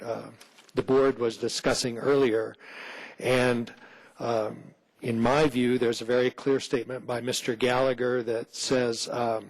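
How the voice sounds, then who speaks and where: A man with a rough, hoarse voice from laryngitis, an attorney making a formal argument before a licensing board, with many fillers. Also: medium pitch, low-quality recording. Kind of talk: formal legal argument at a hearing. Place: city licensing hearing room.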